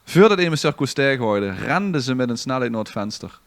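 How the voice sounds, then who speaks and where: A man's voice: medium pitch, very animated, moderate pace, gravelly, no echo. Limburgish man telling a dramatic tale, dry sound. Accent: Limburgish Dutch. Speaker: podcast host and storyteller. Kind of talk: dramatic storytelling read from a script.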